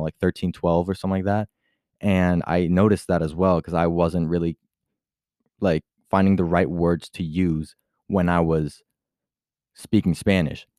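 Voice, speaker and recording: Young man, from Puerto Rico, podcaster, rambling with many fillers and restarts, mellow tone, dry close sound. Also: sits low, smooth, dry recording. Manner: switches into Spanish mid-talk. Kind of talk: casual personal monologue.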